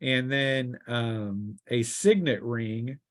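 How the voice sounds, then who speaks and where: A man running a tabletop fantasy game as game master, improvising narration and often pausing mid-sentence. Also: medium pitch, dry recording.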